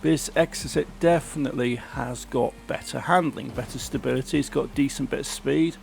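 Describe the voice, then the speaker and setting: Man, croaky sore throat, gravelly, casual gameplay commentary, faint music behind. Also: mid-range voice, fairly quick, a little mellow. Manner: chatty, grumbling about the game. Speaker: gaming channel host.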